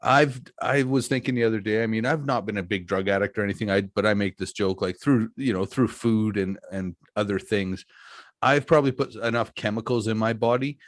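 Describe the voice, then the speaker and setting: Forties male host, lower voice, dry close sound, loose rambling humor. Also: fairly quick, no room sound. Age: 40s. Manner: jokey, self-deprecating, rambling. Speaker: podcast host, wrestler. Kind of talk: casual chat.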